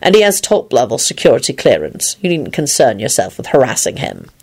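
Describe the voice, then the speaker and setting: A woman voicing a polite, unruffled man in a storybook, drily humorous and quietly firm. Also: low voice, huge pitch swings, normal speed, vocal fry, husky, exaggerated voice. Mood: politely firm.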